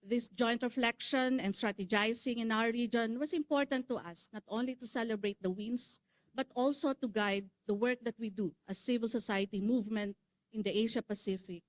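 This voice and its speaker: A woman's voice: fairly high pitch, dry recording. A woman from the Philippines, a regional network's secretary general, giving a formal welcome speech at a conference with some fillers and restarts. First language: Filipino. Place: conference hall.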